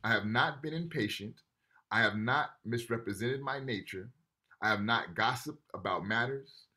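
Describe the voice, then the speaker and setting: A man's voice: on the low side, bright, forceful tone. A man teaching a university class over a video call, talking casually and often checking in with "right?" while reading texts aloud. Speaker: lecturer.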